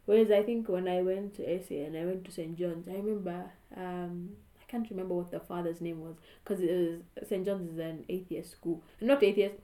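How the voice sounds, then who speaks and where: A woman hosts a casual chat, with a mellow, warm tone. She talks loosely and corrects herself mid-sentence as she goes. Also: fairly low pitch, fairly even intonation, fairly quick, hardly any pauses, reverberant. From Kenya. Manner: chatty, self-correcting. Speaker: podcast host.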